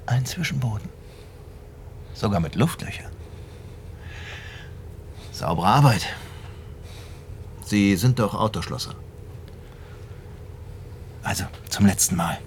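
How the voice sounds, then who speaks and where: A man's voice: fairly low pitch, animated, slight background noise. An actor playing a smug, authoritative police major who needles and mocks as he interrogates in a radio drama. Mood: taunting, stern. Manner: mocking, deliberate, needling. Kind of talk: naturalistic radio drama acting.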